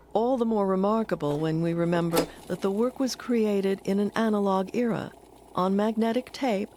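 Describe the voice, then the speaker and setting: A woman's voice: medium pitch, noisy background. A woman delivering scripted narration as the narrator of a documentary.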